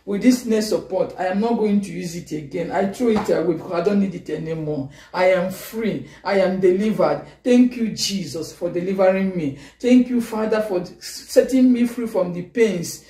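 A woman with a Nigerian accent giving an excited, grateful testimony at home, filmed on a phone from a few steps away. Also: medium pitch, moderate pace, few pauses. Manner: excited and grateful, moving around while talking. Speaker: testimony giver. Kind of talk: video testimony. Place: bedroom at home. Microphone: phone camera from a few steps away. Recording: echoey room, distant phone mic.

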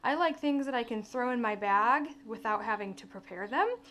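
A woman's voice: a little high, expressive, moderate pace, fairly bright tone. A young woman talking in a chatty, informal way, giving a casual how-to vlog in her kitchen. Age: young adult. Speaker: vlogger and fitness coach. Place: home kitchen, indoors. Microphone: camera across the counter. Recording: echoey kitchen, away from mic.

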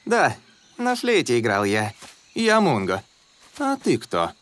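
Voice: medium pitch, huge pitch swings, slow, a bit bright, exaggerated voice. A performer playing a kind, friendly young male jungle animal in a children's cartoon. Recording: music behind the voice.

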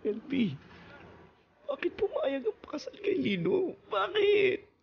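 A woman's voice: very high voice, very animated, takes it slowly, vocal fry, exaggerated voice. A woman acting a desperate, lovesick young male classmate in a radio drama, earnest and pleading. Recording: lo-fi radio broadcast with faint noise.

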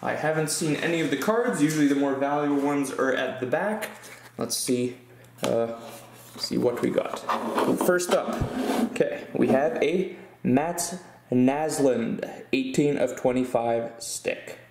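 A man at a table casually hosts his card-opening channel, talking in a mid-pitched, easygoing way. He drifts from plain chatter into excited bursts over good pulls. His voice bounces around a roomy, echoing space. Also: unhurried, slight background noise. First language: English. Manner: chatty, upbeat, with fillers and excited exclamations. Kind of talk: casual hobby commentary. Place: indoor room at a tabletop.

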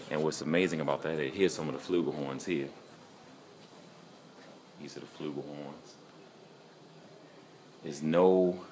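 A man in his forties walking through an instrument factory, filming himself on a phone held at arm's length and talking with excited enthusiasm. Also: a bass voice. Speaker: vlogger touring an instrument factory. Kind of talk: casual walk-and-talk vlog. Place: large indoor factory hall and showroom. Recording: phone recording amid workshop crowd noise.

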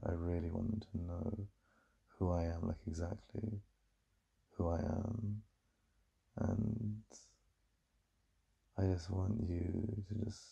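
A British man playing a besotted, yearning boyfriend in an intimate ASMR roleplay, confiding in a breathy murmur. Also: mellow, lo-fi sound, full character voice. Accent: British English. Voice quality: deep, breathy, gravelly.

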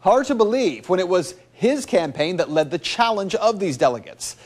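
A man's voice: high-pitched, big pitch swings, moderate pace. A man reporting a scripted TV news segment in a studio, with a pointed, skeptical delivery.

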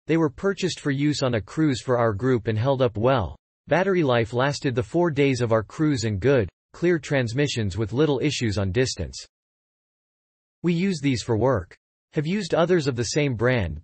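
A man reading customer product reviews aloud in an even, unhurried voice. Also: medium pitch, breathy edge, no echo.

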